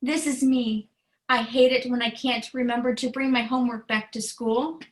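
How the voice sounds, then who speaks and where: A woman who is a children's author, reading aloud and explaining in a deliberate, earnest way with simple phrasing. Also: fairly high pitch, flat delivery, rough recording. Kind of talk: storybook read-aloud and explanation.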